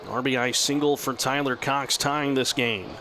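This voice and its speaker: A man doing live radio baseball play-by-play in measured, descriptive, clipped phrases. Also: medium pitch. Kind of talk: live radio sports commentary. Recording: clear steady outdoor ballpark noise.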